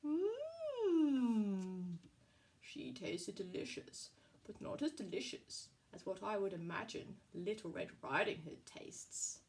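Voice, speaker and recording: Puppet wolf played by a woman: greedy, sly, unhurried, relishing each coaxing phrase, swooping intonation. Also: mid-range voice, very animated, a little mellow, recorded from a distance, a little hiss or hum, voice pushed down. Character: sly, greedy big bad wolf, male. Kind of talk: puppet-show storytelling.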